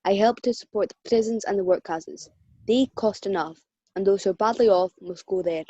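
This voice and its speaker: A woman's voice: medium pitch, moderate pace, dark tone, husky, no echo, dull audio, lightly acted. A woman playing a miserly, scornful old man in a radio play, with a curt, grumbling delivery. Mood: cold, scornful.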